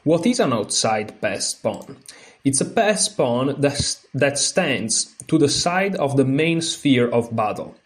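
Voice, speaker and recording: A man with an Italian accent, a non-native speaker, hosting a chess lesson, reading a book aloud haltingly with fillers and restarts, then explaining more freely. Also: mid-range voice, big pitch swings. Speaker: chess channel host.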